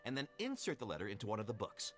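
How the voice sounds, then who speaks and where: Helpful scholar, lively intonation. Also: male voice, lowish voice, racing. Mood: instructive. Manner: explanatory, articulate. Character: helpful, matter-of-fact male scholar in fantasy game. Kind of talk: video game character dialogue.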